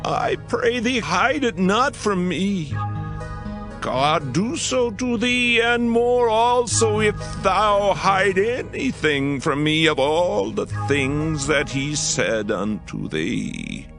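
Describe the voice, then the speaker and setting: An aged, solemn priest, fatherly and resigned. His high-set, wavering voice swoops widely as he speaks in halting, drawn-out phrases over prominent music. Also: animated, full character voice. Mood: pressing, solemn. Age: older. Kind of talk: dramatized scripture reading. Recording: music bed behind the voice.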